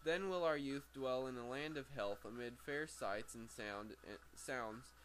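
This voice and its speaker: A man's voice: medium pitch, measured pace, fairly bright tone. A man reading a book aloud as an amateur, stumbling over words, correcting himself and slipping into off-script asides. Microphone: very sensitive microphone. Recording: faint background noise, sensitive microphone.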